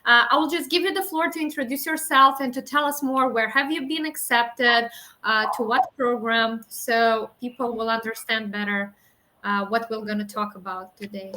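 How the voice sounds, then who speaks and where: A woman hosts a webinar over a video call, introducing her guest and asking questions in a chatty way. Her voice is very bright and fairly high. She fills her speech with ums and uhs. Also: steady tone, normal speed, a little hiss or hum. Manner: chatty with many fillers. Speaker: webinar host. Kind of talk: hosting a webinar interview.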